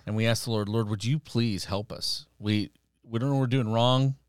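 A man's voice: fairly low pitch, steady tone, moderate pace, clear, resonant tone, no room sound, studio quality. A middle-aged man in an interview, telling personal stories in a conversational way and acting out bits of dialogue. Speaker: missionary guest.

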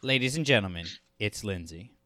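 A man's voice: lowish voice, animated, a little fast, sharp tone, dry recording, studio quality. A man co-hosting a podcast in casual, jokey, rambling chat. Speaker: podcast co-host.

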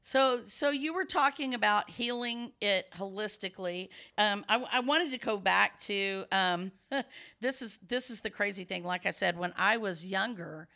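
Scratchy-voiced woman, bright and rambling. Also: medium pitch, unhurried, gravelly. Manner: rambling, explanatory, many restarts. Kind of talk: casual chat.

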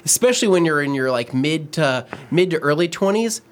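A man's voice: on the high side, animated, fairly quick. A man in his thirties co-hosting a studio talk show, joking and exaggerating as he talks. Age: 30s. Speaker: co-host of detailing talk show. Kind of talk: casual studio chat.

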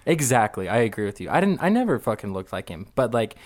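A man's voice: mid-range voice, big pitch swings, fast, a little mellow, no room sound. A young man co-hosting a casual podcast, who talks loosely, laughs often and swears casually. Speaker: podcast co-host, singer.

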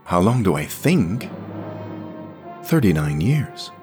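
Man voicing a dry, questioning older woman, low-pitched. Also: big pitch swings, slow pace, a little mellow, soft background music, heavily acted. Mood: bewildered. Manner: short, questioning replies. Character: skeptical sixty-two-year-old woman, dry, bewildered employee.

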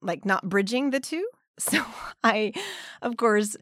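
Animated Canadian-raised woman, dry sound. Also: medium pitch, slow pace, dry recording. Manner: animated storyteller, laughs often, acts out quotes. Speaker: guest. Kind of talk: casual conversational interview.

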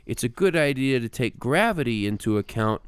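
Clear-voiced man chatting emphatically, dry sound. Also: mid-range voice, expressive, fairly quick, no echo. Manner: emphatic, acts out imagined remarks. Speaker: podcast co-host and music teacher. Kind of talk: casual podcast chat.

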